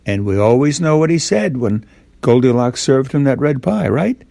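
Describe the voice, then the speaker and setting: A man's voice: mid-range voice, expressive, moderate pace, gravelly, mellow, no echo. An older man tells a simple bedtime story informally, as if speaking to a young child. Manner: simple, repetitive, asks the child listener questions.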